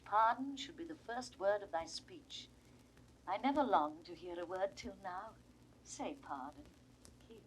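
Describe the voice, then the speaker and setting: Pleading, sweeping-voiced British duchess. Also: female voice, fairly low pitch, low-quality recording. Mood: coaxing. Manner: impassioned, sweeping verse delivery. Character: desperate, pleading aging duchess and mother. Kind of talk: classical Shakespearean stage acting. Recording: vintage 1960s recording, faint noise.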